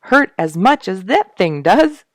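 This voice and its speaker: An excitable young soldier from a storybook, played by a woman narrator, exclaims dramatically. The voice is pushed up and loud, with a close, dry sound. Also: mid-range voice, unhurried, no room sound, pitched above the performer's own voice. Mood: rueful, amused.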